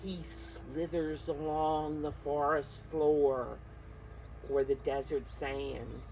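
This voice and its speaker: A woman preschool teacher reading a picture book aloud to young children, expressive and coaxing, often putting questions straight to her listeners. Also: deep voice, slow pace, slight background noise, rough recording.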